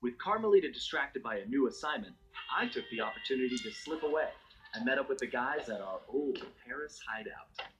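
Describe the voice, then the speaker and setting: A man's voice: mid-range voice, animated, fairly quick, lightly acted, rough recording. Guy playing a slick american thief hero narrating a game cutscene, wry n confident, music playing under him. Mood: wry, fond. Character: suave male master thief raccoon, wry, confident storyteller. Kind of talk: scripted first-person cutscene narration.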